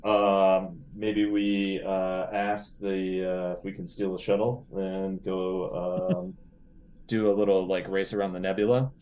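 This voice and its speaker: A man's voice: sits low, little pitch movement, unhurried, a little mellow, highs cut off. A middle-aged man playing a tabletop role-playing game, chatting casually and thinking through ideas in a playful way.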